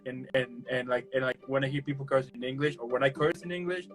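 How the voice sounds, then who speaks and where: Chatty Spanish-speaking host, music behind. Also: male voice, medium pitch, steady tone, quick pace, poor audio. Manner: rambling, many restarts and "you know". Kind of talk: casual interview chat.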